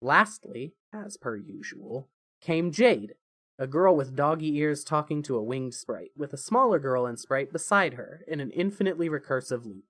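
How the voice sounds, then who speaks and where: A man's voice: a little high, animated, normal speed, fairly bright tone, dry recording. A man reading scripted story narration for an audio fan-fiction drama.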